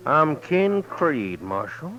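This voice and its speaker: An actor playing a cold, threatening gunslinger in an old-time radio western, with a tough folksy drawl. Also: male voice, on the high side, big pitch swings, takes it slowly, a crowd in the background. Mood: proud. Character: cold, menacing gunslinger drifting into a frontier town. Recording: dull vintage radio recording.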